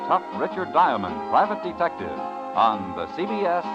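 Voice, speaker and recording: A man's voice: a little high, very animated, moderate pace, over loud music. A man working as a radio announcer in formal, emphatic 1950s broadcast style. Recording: muffled old radio broadcast recording.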